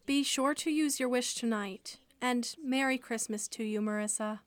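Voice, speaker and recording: A woman's voice: on the high side, moderate pace, no echo, lightly acted. A woman playing a kindly, generous lady caroler in a radio play, speaking in an encouraging way.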